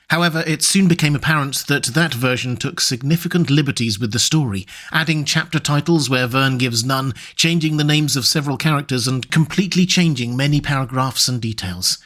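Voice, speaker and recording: Male host-narrator for classic audiobooks, calm and measured, mid-range pitch.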